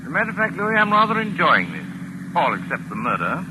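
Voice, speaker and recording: An actor playing a jaunty, talkative English gentleman writer in a 1940s radio thriller, with an urbane, wry, excitable manner and a British accent. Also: a little high, quick pace. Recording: noisy vintage radio broadcast recording.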